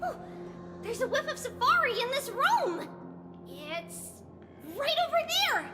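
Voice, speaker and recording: A woman's voice: very high voice, big pitch swings, a little slow, exaggerated voice. A woman voicing a small, chirpy, earnest and curious little girl with a childlike manner in a video game dub. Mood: excited, urging. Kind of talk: English game dub acting. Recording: reverberant game audio with background music.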